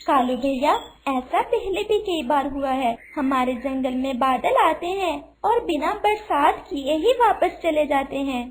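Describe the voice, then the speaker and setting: A woman voicing a kind, polite little female sparrow in a children's cartoon, gentle and earnest. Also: sits high, expressive, measured pace, few pauses, low-quality recording. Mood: earnest, coaxing. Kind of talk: Hindi children's cartoon voice acting.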